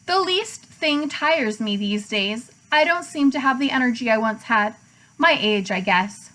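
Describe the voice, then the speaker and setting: A clever, aging female spider from a storybook voiced by a woman reader. She speaks in a measured, polite and candid way, with dry wit and a fairly high voice. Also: expressive, a bit bright, rough recording. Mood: weary.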